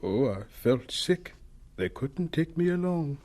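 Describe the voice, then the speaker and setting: Husky, mellow old professor. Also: male voice, a little high, very animated, slow, full character voice. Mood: wistful. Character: gentle, humble older professor reminiscing. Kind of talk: radio drama acting.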